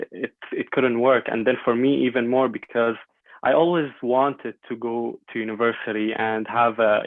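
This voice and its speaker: A man in his twenties from Syria, an Arabic speaker talking in English, answers in a halting, thoughtful way. His pitch barely moves. The sound is thin and phone-like, with no room echo at all. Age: 20s. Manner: thoughtful, halting, many fillers and repeats. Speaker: guest. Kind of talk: podcast interview. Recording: dry tinny phone-like line.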